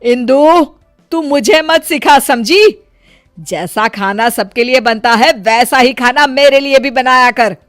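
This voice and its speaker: Woman acting loud, bossy Indian mother-in-law. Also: sits high, slow pace, dry recording, studio quality, soft background music, pitched above the performer's own voice. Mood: scolding, angry. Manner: sharp, bossy, reprimanding. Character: harsh, scolding, biased middle-aged mother-in-law. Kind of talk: acted Hindi moral storybook dialogue.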